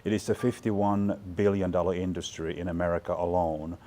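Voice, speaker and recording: A man's voice: sits low, steady tone, a little fast, mellow, slight background noise. A man in his forties from Finland, talking through thoughtful, chatty interview answers with plenty of fillers and restarts. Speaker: guest, fashion department chair. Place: large bright studio loft indoors.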